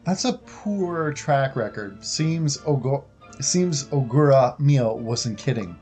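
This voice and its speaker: Male playthrough host, medium pitch, informal easygoing commentary, faint game music underneath. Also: lively intonation, takes it slowly, a little mellow. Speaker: gaming video host. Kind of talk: casual playthrough commentary, reading dialogue choices aloud.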